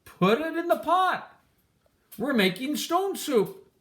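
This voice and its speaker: A woman voicing a hungry, showman-like traveler in a storybook, proclaiming in a drawn-out way. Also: sits high, sing-song, pitched above the performer's own voice, full character voice. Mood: grand, showy. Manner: loud, slow, drawn-out proclaiming. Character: two hungry, hearty, showman-like travelers selling magical soup.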